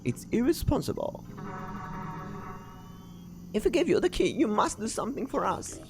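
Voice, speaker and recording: A man's voice: high voice, huge pitch swings, normal speed, vocal fry, over music, pitched above the performer's own voice, heavily acted. A man voicing a flustered, guarded researcher in a video game, fussy, formal and hesitant in manner. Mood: reluctant, wary. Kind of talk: character voices while reading game dialogue.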